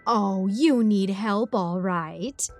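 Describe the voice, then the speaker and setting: Female narrator as sinister demon in a woman's body: taunting, cruel, drawn-out delivery, audio storybook, light music bed. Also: a little high, expressive, lightly acted. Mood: mocking.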